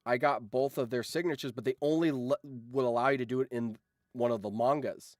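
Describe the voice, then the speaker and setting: A man with a mid-pitched voice talks casually on a livestream, close to the mic with a dry sound. He rambles through anecdotes like an enthusiastic fan, informal and happy to swear. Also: fairly even intonation, a little fast, no echo. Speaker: streamer and voice actor.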